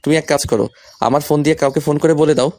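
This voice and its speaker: A man's voice: on the high side, fairly even intonation, quick pace, darkish tone. A young man reading a scripted first-person romantic story, voicing the male lead's lines as well. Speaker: story narrator and male lead.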